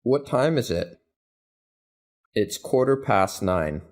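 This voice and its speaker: A man reading English practice questions and answers, each said twice in an even, deliberate way. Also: medium pitch. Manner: even, deliberate, carefully spaced delivery. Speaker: English teacher. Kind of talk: slow scripted phrase drills, each said twice.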